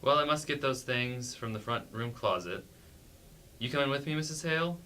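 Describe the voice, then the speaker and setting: A man's voice: medium pitch, moderate pace, bright, forceful tone, lightly acted. A man playing a timid, dutiful sheriff's wife in an amateur staged play reading, with hesitant, deferential delivery. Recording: faint background noise.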